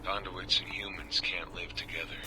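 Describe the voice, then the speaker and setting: A man's voice: deep voice, flat delivery, normal speed, background noise, close to an everyday voice. A man playing a weary, cynical soldier confiding in a video game audio log, in a conversational, matter-of-fact way. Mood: weary, resigned. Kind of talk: in-character video game monologue.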